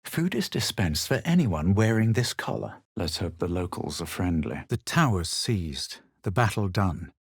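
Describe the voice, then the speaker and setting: A composed, haughty male adventurer from a fantasy game. His voice sits low and is recorded very dry and close. He speaks in measured phrases with a faint air of superiority. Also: very animated, husky, no echo, heavily acted. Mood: solemn, composed. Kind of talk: video game character lines, scripted.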